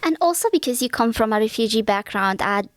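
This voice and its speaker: A woman's voice: on the high side, animated, quick pace, no echo. A woman hosting a podcast interview, encouraging her guest with many short affirmations. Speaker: podcast host.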